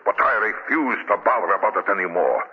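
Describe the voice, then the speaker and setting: Deep, excitable nervous chemist. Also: male voice, fairly quick, breathy edge. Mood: defensive, dismissive. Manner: agitated, theatrical, emphatic. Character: nervous, defensive male chemist doctor, scornful and excitable. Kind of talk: 1940s radio drama acting. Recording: muffled, noisy 1940s radio recording.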